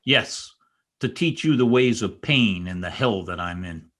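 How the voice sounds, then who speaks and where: Guy playing a bitter brooding lover out for revenge, lowish voice, formal intense old radio drama style. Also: lively intonation, measured pace, fairly bright tone. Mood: cold, vengeful. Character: brooding, bitter, vengeful spurned male lover. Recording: remote video-call recording.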